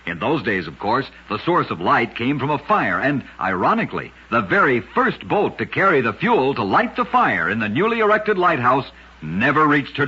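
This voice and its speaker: A man's voice: mid-range voice, sharp tone, breathy edge. A man narrating short curiosities for radio in a scripted, dramatic style with emphatic storytelling. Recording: dull lo-fi old radio recording.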